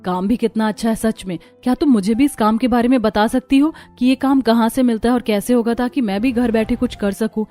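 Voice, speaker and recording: A woman voicing a kind, polite young housewife in a cartoon story, gentle and earnest. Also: a little high, brisk, hardly any pauses, soft background music, natural voice. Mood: friendly, curious.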